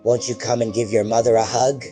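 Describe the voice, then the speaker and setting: A man's voice: mid-range voice, level tone, fairly quick, dark tone, soft background music, close to an everyday voice. A man voicing a slimy, drooling monster that pretends to be a mother, speaking in a mocking, sinister croon.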